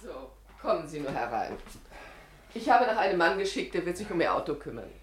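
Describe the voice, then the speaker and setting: Kindly village teacher, low voice, very lively intonation, caring, faint old radio hiss. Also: female voice, a little fast. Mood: concerned. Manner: caring, gently insistent instructions. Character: solicitous village schoolteacher woman, kindly and practical. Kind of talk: 1950s radio play acting. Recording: slightly noisy vintage radio recording.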